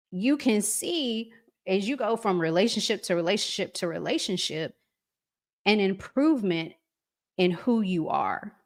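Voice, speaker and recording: Slow-paced woman hosting a reflective chat. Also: lowish voice, gravelly. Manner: conversational, reflective, asks listeners rhetorical questions. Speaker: host reading and answering viewer comments. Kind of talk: casual live commentary chat with listeners.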